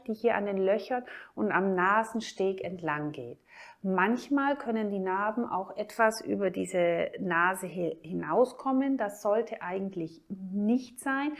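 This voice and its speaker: A woman plastic surgeon with a German accent, calmly explaining a cosmetic procedure to the camera. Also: medium pitch. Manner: calm, measured and informative, points while explaining.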